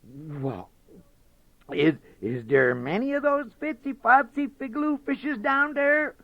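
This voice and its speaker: A man's voice: very high voice, very animated, slow, no room sound, voice pushed up, full character voice. A man voicing a greedy, gullible male fox who stammers eagerly in storybook dialect. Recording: dull dry lo-fi recording.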